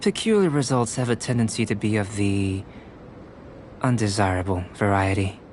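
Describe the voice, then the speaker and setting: A man voicing a calm, detached young alchemist in an anime-style video game, speaking in a measured, precise, professorial way. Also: lowish voice, a little hiss or hum, natural voice. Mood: reflective, explanatory.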